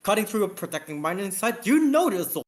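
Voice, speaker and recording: An amateur reader voicing an exasperated spaceship lieutenant from a sci-fi story, scolding in an indignant, halting way. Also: mid-range voice, very animated, voice pushed up, close to an everyday voice.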